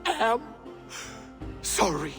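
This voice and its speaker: Flamboyant Norse poet, wildly swooping delivery. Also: male voice, high-pitched, very animated, drawn-out words, vocal fry, a bit bright, full character voice. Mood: florid apology. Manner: grandiloquent, theatrical, hesitating for effect. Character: flamboyant, self-important Norse male poet, unrepentant borrower. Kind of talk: video game cutscene acting. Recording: long hall reverb. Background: music.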